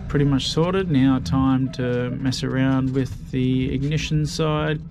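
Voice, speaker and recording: A man's voice: medium pitch, takes it slowly, gravelly. Easygoing man narrating workshop build. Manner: relaxed, rambling, filler-heavy, self-deprecating. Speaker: motorbike restoration vlogger. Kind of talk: casual workshop voice-over narration.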